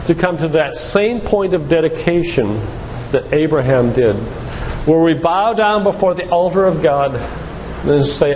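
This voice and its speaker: A man's voice: a little high, darkish tone. Man preaching in an echoey hall. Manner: emphatic, preacherly, with rhetorical questions. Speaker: physician giving a faith-based lecture. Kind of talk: sermon-like church lecture. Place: church, speaking to a congregation. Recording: echoey church hall with background noise.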